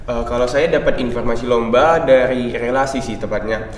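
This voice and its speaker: A teenage boy, a student guest, answering in a relaxed, chatty way. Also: mid-range voice, normal speed, a little hiss or hum. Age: teens. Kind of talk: casual interview answers. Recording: distant mic in echoey room.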